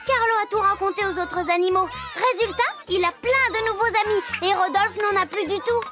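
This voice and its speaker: A perky little child storyteller from a cartoon. The voice is extremely high and chatty, full of big feelings, with prominent music underneath. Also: female voice, brisk. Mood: storytelling.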